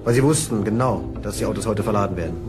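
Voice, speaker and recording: A man plays a matter-of-fact police investigator in a radio crime drama. He is terse and factual in a lowish voice, over loud music. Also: quick pace, natural voice. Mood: probing.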